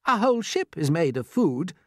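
A patient, sensible boy from a storybook, voiced by a narrator. He reasons earnestly in a lifted, animated voice, recorded close and dry. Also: mid-range voice, normal speed, no echo, pitched above the performer's own voice. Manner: reassuring, reasoning. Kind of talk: storybook character voice.

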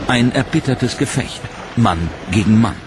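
A man giving scripted, measured documentary narration in a dramatic tone. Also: mid-range voice, animated. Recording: narration over loud music.

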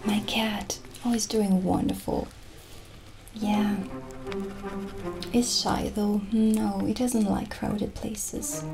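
A middle-aged woman playing a gentle, chatty witch shopkeeper in a soft-spoken, often whispered ASMR roleplay, speaking in a hushed, unhurried way. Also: medium pitch, flat delivery, gravelly. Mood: calm, chatty. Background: original music.

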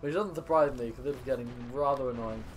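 A man's voice: medium pitch, quick pace, slight background noise. A young man giving casual gameplay commentary in a rambling, exasperated way, with joking complaints and mild swearing. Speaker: gaming video host. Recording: game sound clearly behind voice. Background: video game action sounds.

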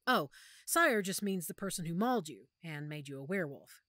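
A woman acting a protective, weary werewolf pack leader in an audio roleplay, speaking softly and measuredly with thoughtful pauses. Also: fairly low pitch, lively intonation, no echo, studio quality. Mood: patient, explaining. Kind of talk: audio roleplay drama, one-sided conversation.

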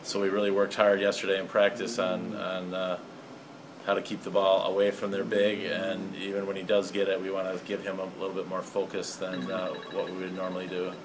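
Deep, flat-voiced coach in a noisy hallway. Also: male voice. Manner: measured, halting, many fillers. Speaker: high school basketball coach. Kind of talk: postgame interview. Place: school hallway indoors.

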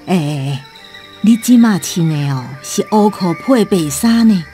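Casting: caring, teasing elderly wife, animated melody, slow delivery, radio drama. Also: female voice, medium pitch, soft background music. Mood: teasing, fussing. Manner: expressive, homely. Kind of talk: radio drama acting in Taiwanese Hokkien.

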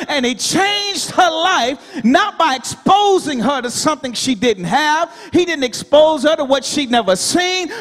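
A man's voice: very high-pitched, a bit bright. An American man in his thirties preaching a fervent Baptist sermon with an emphatic, repetitive cadence into a handheld microphone. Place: large church sanctuary, indoors. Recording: reverberant hall, amplified PA.

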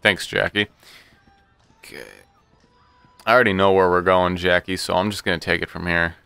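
A man's voice: sits low, little pitch movement, moderate pace, creaky, pushed and bright, clear, resonant tone, no room sound, soft background music. A man giving casual, flippant gameplay commentary, with playful little sound effects. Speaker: gaming video host.

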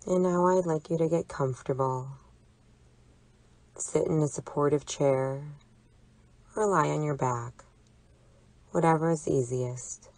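A woman leading a guided imagery relaxation exercise, speaking in a soothing, deliberate, gently instructive way. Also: low-pitched, no echo. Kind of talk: guided imagery meditation narration.

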